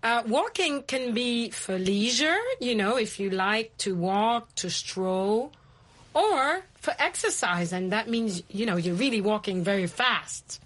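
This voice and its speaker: A woman's voice: a little high, animated, a little slow, fairly bright tone. A woman from Kenya hosting a conversational English lesson, playfully repeating and explaining what her guest says. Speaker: host teaching English vocabulary.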